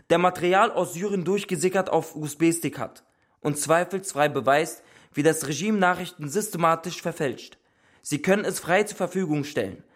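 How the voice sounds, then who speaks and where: A man's voice: on the high side, natural voice. An actor playing an earnest, polite Syrian man reading out an email in formal, stilted phrasing for a radio drama. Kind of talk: German radio drama acting.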